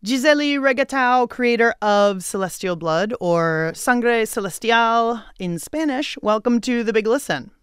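A woman's voice: a little high, lively intonation, unhurried, hardly any pauses, smooth, dry recording, clean studio sound. A woman with an American accent hosting a public radio show in a conversational way.

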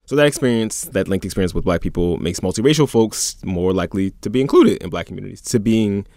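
A man co-hosts a podcast in casual chat, talking fast with a gravelly, slightly low voice. He is animated and throws in joking asides. The sound is dry and close. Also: no echo. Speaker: podcast co-host.

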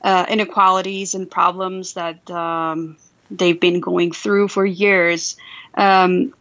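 A woman's voice: lowish voice, sharp tone, muffled recording. A woman from Iran whose first language is Farsi, answering as a political analyst on a radio interview with many fillers and restarts.

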